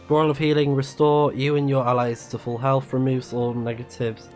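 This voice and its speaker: A man's voice: mid-range voice, little pitch movement, normal speed, darkish tone, soft background music. A man giving casual let's play commentary, reading on-screen text aloud and murmuring reactions as he plays. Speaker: streamer playing a video game.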